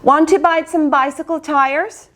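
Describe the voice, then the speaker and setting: A woman's voice: sits high, fairly even intonation, unhurried, fairly bright tone, pitched above the performer's own voice. A woman voicing a friendly, eager male dog in a storybook, asking cheerful questions.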